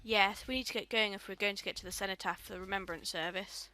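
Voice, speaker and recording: An actress in a radio play playing a caring, curious young granddaughter with a gentle, questioning manner. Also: female voice, mid-range voice, fairly even intonation, quick pace, dry recording, natural voice. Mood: bright, affectionate.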